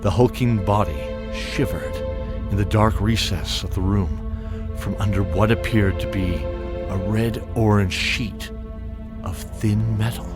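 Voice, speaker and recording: A man narrating a fantasy audio drama in a steady, descriptive manner. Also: on the low side, slow, over loud music. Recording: loud score behind.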